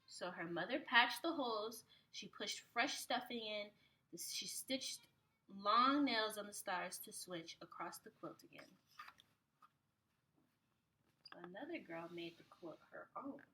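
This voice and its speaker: Woman, bright clear-cut tone, mid-pitched, expressive storybook read-aloud, teacherly, animated phrasing. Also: slow pace, slight background noise, poor audio. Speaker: preschool teacher reading aloud.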